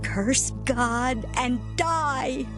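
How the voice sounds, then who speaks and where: A woman's voice: sits high, extremely slow, music in the background, exaggerated voice. A woman playing a bitter, despairing wife in a dramatized scripture reading.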